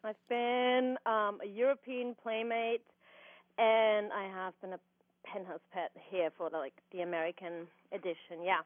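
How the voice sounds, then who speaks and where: A woman's voice: medium pitch, flat delivery, a little slow, no room sound. A young woman with an Austrian accent talks with a giggle that keeps breaking through. She fills her thoughts with ums. Her voice comes through a tinny, dry phone line. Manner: giggly, laughs often, frequent ums. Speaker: guest. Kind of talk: casual phone interview.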